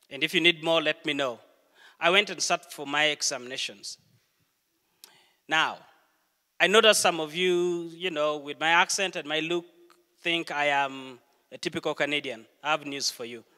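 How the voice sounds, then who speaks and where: A middle-aged man preaches in a church, his bright, ringing voice carrying a long hall reverb. He speaks in a fairly high register, telling stories earnestly and pausing deliberately between phrases. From Uganda. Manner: earnest storytelling with deliberate pauses. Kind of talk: sermon.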